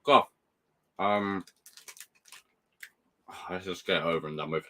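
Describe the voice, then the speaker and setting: Man, croaky morning voice, nervous live reaction, swearing, lowish voice. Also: steady tone, unhurried, a bit bright, slight background noise. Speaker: streamer hosting a watch party.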